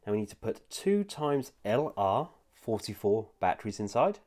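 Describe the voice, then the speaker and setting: A man with a low, deep voice that sounds nasal and stuffed up from a lingering cold. He reviews a collectible figure in a chatty, enthusiastic way, often pausing with "uh". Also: sing-song, darkish tone. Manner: enthusiastic, chatty, with frequent "uh" fillers. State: recovering from a cold. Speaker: toy reviewer. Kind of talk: casual product review.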